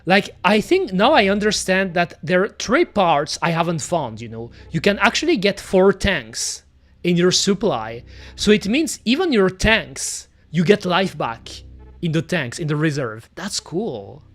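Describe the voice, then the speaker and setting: Belgian-accented, French-native streamer; chatty casual game commentary, very animated pitch. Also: unhurried. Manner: chatty, talks to chat, lots of "you know" and "pretty much".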